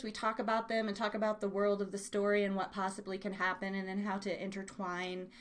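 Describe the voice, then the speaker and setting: A woman's voice: mid-range voice, a bit bright. A woman hosting a live online show, chatting casually in a bubbly, playful, scattered way. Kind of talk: casual rambling livestream chat.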